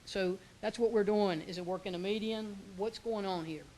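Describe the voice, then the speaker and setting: Monotone man presenting at a conference. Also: sits high, normal speed, poor audio. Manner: informal, many fillers, self-corrects. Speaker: presenter, transportation official.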